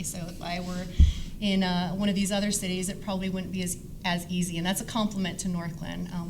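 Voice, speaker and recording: Middle aged lady council member chatting at a forum, kinda rambly, noisy room recording. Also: fairly low pitch. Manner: rambling, conversational. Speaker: city council member and candidate. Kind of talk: informal speech at a candidate forum. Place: public forum, live event. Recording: noisy live room sound.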